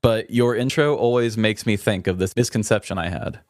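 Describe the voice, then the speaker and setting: A man with a lowish voice co-hosts a casual podcast. He chats informally, telling anecdotes and reading out messages from listeners. The sound is close and dry, with very little room in it. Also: normal speed, dry recording. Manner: informal, chatty storytelling. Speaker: podcast co-host.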